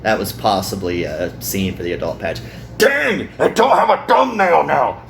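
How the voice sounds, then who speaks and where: A man's voice: lowish voice, normal speed, gravelly, noisy background. A man hosting a visual novel playthrough with casual, jokey commentary, often interrupting himself. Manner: jokey, animated, self-interrupting. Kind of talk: casual reading and joking commentary.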